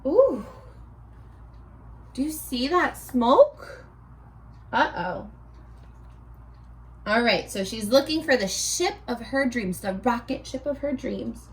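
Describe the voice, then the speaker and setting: A woman teacher reading a storybook aloud to young children in an expressive sing-song way, stopping to ask prompting questions. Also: fairly high pitch, takes it slowly, pauses often, low-quality recording.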